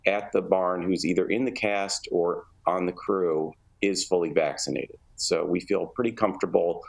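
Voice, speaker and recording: A man with a deep voice and noticeable gravelly fry gives explanatory interview answers. He phrases things in a measured, halting way, like an arts director laying out details. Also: rough recording. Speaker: theatre artistic director, interview guest.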